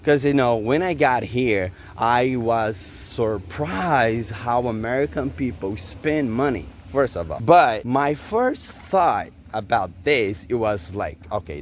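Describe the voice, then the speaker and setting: A man in his forties from Brazil, with a Brazilian Portuguese accent and Portuguese as his first language, vlogging casually outdoors after a jog, halting and searching for words with many fillers. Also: medium pitch, slight background noise. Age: 40s. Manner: just back from a jog. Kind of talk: casual vlog to camera. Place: outdoors in a park.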